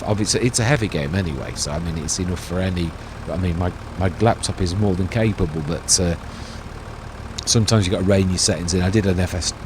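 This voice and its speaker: A quiet-voiced man hosting a farming game let's-play, chatting in a meandering, self-correcting way. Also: low voice. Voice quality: soft-spoken, quiet voice. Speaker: gaming channel host. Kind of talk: rambling let's-play commentary. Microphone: laptop gaming setup. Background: noisy computer hum.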